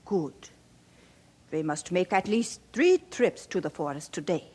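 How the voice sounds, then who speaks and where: Stern, animated mother. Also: fairly low pitch, measured pace, husky. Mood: brisk, businesslike. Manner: commanding, formal phrasing. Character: stern, industrious mother of a poor family. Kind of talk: radio drama acting.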